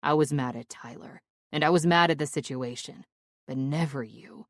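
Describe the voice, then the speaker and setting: A woman voicing a remorseful young man in love, speaking low and gravelly in a pleading, apologetic way. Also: normal speed, no room sound, clean studio sound, close to an everyday voice. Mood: pleading tender. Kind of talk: audiobook character voice.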